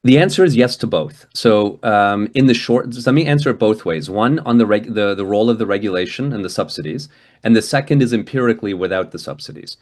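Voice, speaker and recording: A man's voice: lowish voice, lively intonation, fast, a little mellow. A man from Hungary giving a guest lecture and answering questions over a video call, conversational, with frequent restarts and a habit of tagging "right?" onto his points. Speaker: guest speaker.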